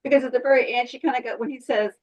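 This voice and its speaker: A woman's voice: a little high, steady tone, very fast. A woman taking part in a casual workshop discussion, talking in a rambling way and circling back to restate her thoughts. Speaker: workshop participant.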